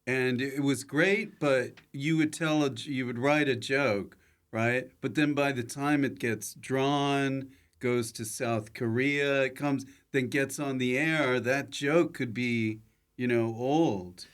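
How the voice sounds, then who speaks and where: A man's voice: mid-range voice, fairly even intonation, slow pace, clean tone. An American man hosting a casual comedy podcast interview, asking questions and adding short remarks. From United States. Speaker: podcast host.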